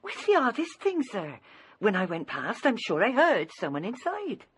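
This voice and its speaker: An actress playing a deferential, flustered housekeeper in a radio drama, with a husky voice. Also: female voice, fairly high pitch, wildly swooping pitch, normal speed, exaggerated voice. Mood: flustered, apologetic. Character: apologetic, flustered English female housekeeper. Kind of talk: British radio drama acting.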